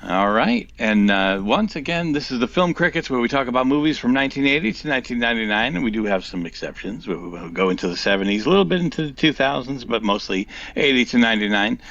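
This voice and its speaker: A male podcast host with a gravelly voice gives an upbeat welcome, his pitch rising and falling in lively swoops. He is recorded on a dry, dull, lo-fi mic. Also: quick pace, few pauses. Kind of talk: podcast introduction.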